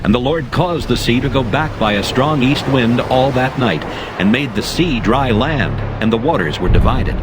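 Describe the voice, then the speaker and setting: A man narrating a dramatized scripture reading in a slow, steady and formal way. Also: mid-range voice. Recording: music underneath.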